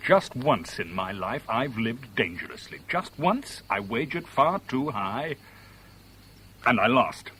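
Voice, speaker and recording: A jilted middle-aged Englishman in a vintage radio play, articulate and self-mocking. His British voice moves widely in pitch, wounded and theatrical, over a faint hiss of old broadcast tape. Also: sing-song, takes it slowly, sharp tone, heavily acted, rough recording. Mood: wry, self-mocking. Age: 40s. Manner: articulate, wry, theatrical, rhetorical. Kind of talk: 1970s British radio drama acting.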